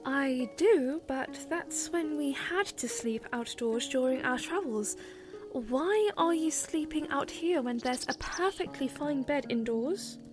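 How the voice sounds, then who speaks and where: Gentle young princess , played by a woman, high and unhurried, earnest, for fantasy audio drama. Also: lively intonation, over music. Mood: concerned. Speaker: voice actor.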